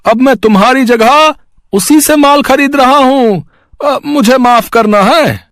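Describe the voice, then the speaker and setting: Squeaky high merchant voice, very slow n drawn out, dismissive, story audio. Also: male voice, fairly bright tone, no room sound, clean studio sound, heavily acted. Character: rich male cloth buyer, curt and dismissive merchant.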